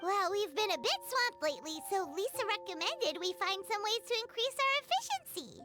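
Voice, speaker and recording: A woman voicing a tiny, chirpy, excitable fairy companion with a childlike manner in a video game cutscene. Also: extremely high pitch, fairly quick, loud music behind the voice. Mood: excited, cheerful. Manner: speaks of herself in third person.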